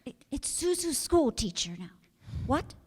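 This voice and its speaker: Woman playing very high-voiced anxious wife. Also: sing-song, measured pace, darkish tone. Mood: concerned. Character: anxious, pleading wife and mother. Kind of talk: radio drama acting. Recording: echoey hall, stage reverb.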